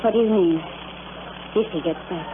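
An actress playing a bored, scornful, unfaithful wife in old-time radio drama, theatrical, sardonic and impatient. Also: female voice, lowish voice, moderate pace, noisy background, close to an everyday voice. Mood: anxious, passionate. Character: bored, scornful, adulterous wife, passionate with her lover. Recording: tinny vintage radio recording with music.

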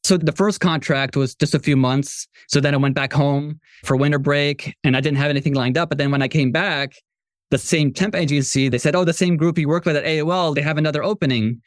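A man from Morocco talking conversationally as a podcast guest, telling his own story as a software entrepreneur. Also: fairly high pitch, steady tone, brisk, no echo, studio quality.

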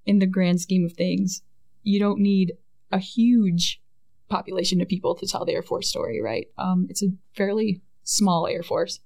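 A woman's voice: mid-range voice, fairly even intonation, normal speed, vocal fry, darkish tone. A woman in her thirties with a Midwestern American accent, chatting casually as an interview guest, often adding "right?" and "like". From Michigan. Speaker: guest, Air Force public affairs NCO.

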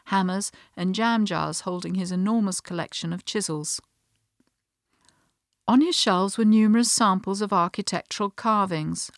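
Woman narrator, measured and even, dry close recording. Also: medium pitch, no room sound. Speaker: interviewer and narrator. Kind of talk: scripted narration.

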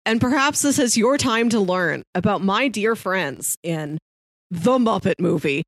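A woman co-hosting a podcast, playfully pitching and chatting about films, chuckling as she talks. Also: expressive, unhurried, dry recording. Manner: chuckles mid-sentence, playful. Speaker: podcast co-host. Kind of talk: casual film podcast chat, pitching films and reading blurbs.

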